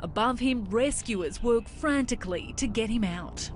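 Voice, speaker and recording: A woman reporter reading a scripted news story in a dramatic, measured way. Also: fairly high pitch, expressive, slight background noise. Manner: dramatic, measured voice-over delivery. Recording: voice-over with music bed.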